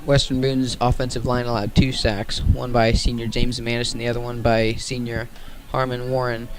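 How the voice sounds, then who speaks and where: An American man giving radio play-by-play sports commentary in a measured announcing manner. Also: medium pitch, level tone, background noise.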